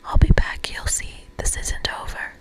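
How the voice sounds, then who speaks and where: A woman's voice: normal speed, natural voice. A woman voicing a villainous billionaire boss from a game in a whisper, melodramatic, threatening and vengeful.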